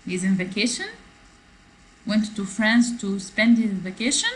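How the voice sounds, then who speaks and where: Woman as a French teacher, unhurried and measured, mid-pitched, repeats phrases for learners, faint noise behind. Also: rough recording. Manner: switches between French and English, slow, repeating phrases for learners. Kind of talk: online class, reading a text and explaining it. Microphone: computer mic in a video class.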